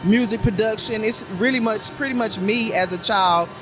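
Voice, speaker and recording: Woman, deep voice, podcast guest, rambly with restarts, music in the background. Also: moderate pace. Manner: rambling, with many restarts and fillers. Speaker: guest, puppet show creator. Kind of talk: casual podcast conversation.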